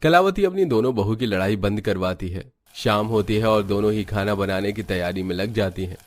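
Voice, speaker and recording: A man's voice: on the low side, a little fast. A man reading scripted narration for a family story.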